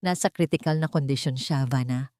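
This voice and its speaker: A woman voicing a calm, firm young woman. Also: a bass voice, brisk, dry recording, clean studio sound, pitched below the performer's own voice. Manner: gentle, steady.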